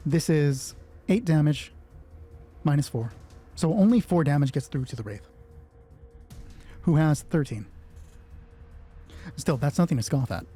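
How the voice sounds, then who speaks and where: Male solo game master, husky and slightly high-pitched, casual sweary narration of dice rolls and action, music underneath. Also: expressive, a little slow, pauses often, mellow. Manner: casual, swearing freely, thinking aloud. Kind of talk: narrating solo tabletop roleplay, reading out dice results.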